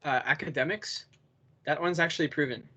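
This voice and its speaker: A man's voice: fairly high pitch, brisk, sharp tone, breathy edge, muffled recording. A young man co-hosting a casual podcast over a voice call, bantering in a teasing way. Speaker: podcast co-host.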